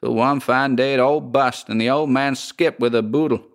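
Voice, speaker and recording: A man voicing a coolly unbothered landlady of a haunted boarding house, with a metallic voice and a slow folksy drawl. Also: mid-range voice, fairly even intonation, creaky, voice pushed up, natural voice.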